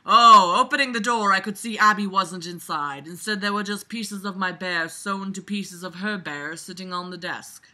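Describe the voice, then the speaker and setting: Bright, forceful, exasperated reader-commentator. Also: medium pitch, normal speed, hardly any pauses. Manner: exasperated, sarcastic, blunt and swearing; animated, emphatic, jumps from muttering to loud outbursts. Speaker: reader reviewing a book aloud. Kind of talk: casual running commentary while reading a novel aloud.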